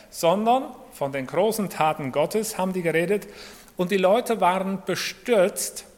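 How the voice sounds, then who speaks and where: A man preaching a sermon in in a conversational way, asking his listeners rhetorical questions. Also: high-pitched. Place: church hall. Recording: long reverb of a hall.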